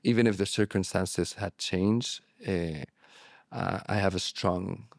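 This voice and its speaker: Low gravelly man, reflective and halting. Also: steady tone, no echo, clean studio sound. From born in Morocco, raised abroad. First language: Spanish. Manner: reflective, halting with restarts and fillers. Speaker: guest, relapse prevention therapist. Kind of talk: candid interview talk.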